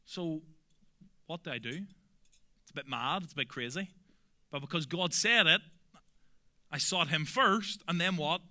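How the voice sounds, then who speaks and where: A young adult man from Belfast with a Belfast accent, preaching a sermon earnestly and emphatically, often dropping to soft, quiet endings. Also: a little high, measured pace, long pauses. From Northern Ireland. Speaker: pastor.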